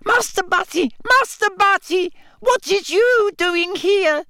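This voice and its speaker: Storybook house-elf, small female servant, played by a man: very high, piercing, frantic pleading in broken grammar. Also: lively intonation, slow pace, sharp tone, dry recording, clean studio sound, full character voice. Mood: shrieking distress. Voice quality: shrill, piercing.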